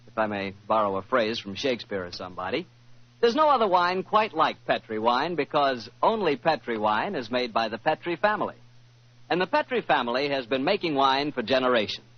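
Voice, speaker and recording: A male American announcer from 1940s radio, delivering sponsor chat. His pitch moves in lively swoops, with a folksy salesman's manner. The recording is dull and old. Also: fairly quick.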